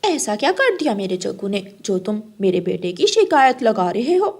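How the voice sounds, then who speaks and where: A woman's voice: medium pitch, big pitch swings, moderate pace, a little mellow. A woman voicing a stubborn, spiteful mother crow in a children's cartoon, scolding and haughty. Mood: indignant. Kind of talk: Hindi moral cartoon character acting.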